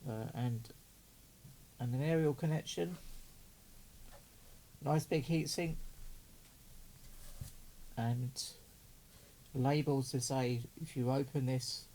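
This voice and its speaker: A man's voice: mid-range voice, takes it slowly, long pauses, mellow, a little hiss or hum, low-quality recording. A man giving casual, doting unboxing commentary as a hobbyist, chatting and muttering to himself as he works. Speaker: radio hobbyist vlogger.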